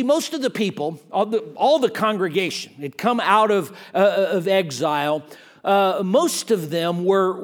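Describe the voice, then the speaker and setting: Guest preacher, male, fairly high voice, emphatic, often restarts words. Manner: swings from quiet and slow to loud and fast. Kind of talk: sermon.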